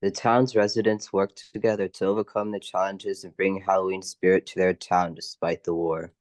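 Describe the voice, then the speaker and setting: A man's voice: deep voice, little pitch movement, moderate pace, no echo. An older man giving scripted narration for a radio play.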